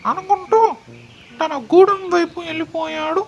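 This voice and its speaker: A female storyteller with a high voice narrates a tale for children. There is clear noise behind her speech. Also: fairly even intonation, moderate pace, dull audio.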